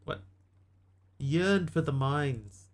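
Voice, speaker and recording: A man with a New Zealand accent gives relaxed, chatty commentary as he plays a game on a live stream. His delivery is dry and self-mocking, pitched a little on the high side. A faint hiss runs under the recording. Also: very slow. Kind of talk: casual live-stream commentary while gaming.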